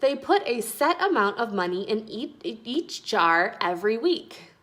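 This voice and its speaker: A woman's voice: fairly high pitch, sing-song, a little slow, fairly bright tone. A woman voicing a kindly, encouraging uncle who patiently explains things to children in a storybook. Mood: patient, instructive.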